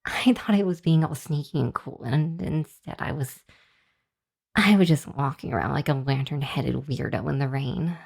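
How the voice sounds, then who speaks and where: A woman's voice: low-pitched, moderate pace, creaky, darkish tone. A woman playing a nervous, apologetic young hellhound girl in an ASMR roleplay, speaking to the listener with a hesitant, stammering delivery. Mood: sheepish, puzzled.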